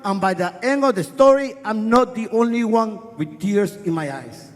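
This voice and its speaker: A man's voice: high voice, lively intonation. A middle-aged man from Guatemala, a native Spanish speaker with a Spanish accent, telling a personal story on a festival stage in an earnest, wry way. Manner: earnest, wry, speeds up and slows down for effect. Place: festival stage before an audience. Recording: live hall with long reverb.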